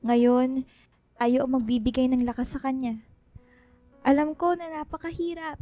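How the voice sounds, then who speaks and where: A woman's voice: high-pitched, fairly even intonation, moderate pace, dark tone, no room sound, soft background music, lightly acted. An actress playing a loving, worried mother in a Filipino radio drama, speaking in a pleading, soothing, emotional way with a Filipino accent. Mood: pleading, encouraging. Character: loving, worried mother urging her grieving daughter to stay strong.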